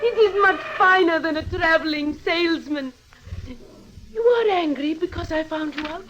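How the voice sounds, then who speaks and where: Naive young daughter, very high voice, earnest, emotional, old radio drama, noisy muffled recording. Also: female voice, normal speed, a bit bright. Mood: adoring.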